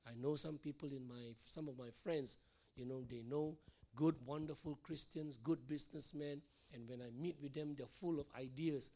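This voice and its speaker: Male pastor, middle-aged, hoarse and gravelly, repetitive teaching sermon in church. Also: on the high side, fairly even intonation, moderate pace, mellow. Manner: repeats phrases, frequent "all right?" and "okay?" checks. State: hoarse, losing his voice.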